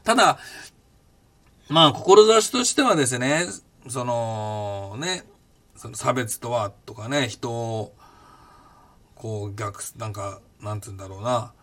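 A man in his fifties, hosting a podcast and recounting his past in a casual, blunt and animated way. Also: medium pitch, slow pace. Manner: animated, blunt storytelling with rough casual speech. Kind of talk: casual spoken memoir.

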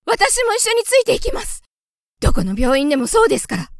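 A woman's voice: extremely high pitch, huge pitch swings, fast, creaky, no room sound, studio quality, pitched above the performer's own voice, heavily acted. A woman voicing an anxious, tearful mother field mouse who speaks very politely and pleads. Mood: anxious, pleading.

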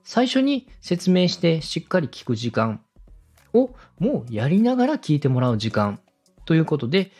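A male host speaks with animated, widely moving pitch and a slightly rough, husky tone. He coaches politely and methodically, dropping in sample phrases as demonstrations. Faint music plays behind his voice. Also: normal speed. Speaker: radio director and podcast host giving advice. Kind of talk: step-by-step coaching on presenting.

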